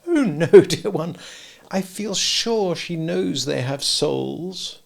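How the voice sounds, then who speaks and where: Man doing a placid gentle mother. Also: on the high side, animated, takes it slowly, gravelly, pitched above the performer's own voice, heavily acted. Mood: fond. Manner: soft, placid, affectionate.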